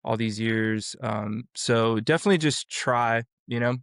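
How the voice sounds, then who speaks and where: A man's voice: medium pitch, a little slow, fairly bright tone, smooth, no echo, studio quality. A man in his forties from Florida, a vocal coach and producer, talking casually as an interview guest and rambling through his story with many restarts. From Florida, USA.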